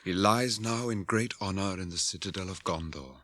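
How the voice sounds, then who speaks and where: An actor playing an earnest, formal young warrior lord in a 1980s radio drama. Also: male voice, low-pitched, normal speed, a bit bright, no echo, studio quality.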